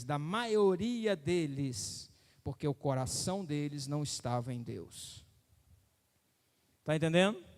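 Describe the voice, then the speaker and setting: A man's voice: fairly high pitch, darkish tone, big-room sound. A man preaching a sermon, emphatic and repetitive, often asking the listeners whether they follow him. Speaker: pastor.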